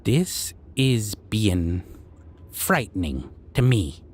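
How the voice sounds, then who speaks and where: Male actor as goblin king, fantasy audio roleplay, stern menacing judge, very slow deliberate delivery, broken quirky grammar, expressive swooping intonation. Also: fairly low pitch, slightly rough, heavily acted. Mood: wary, deliberating. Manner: broken, unusual grammar with drawn-out questioning. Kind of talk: scripted roleplay audio drama monologue.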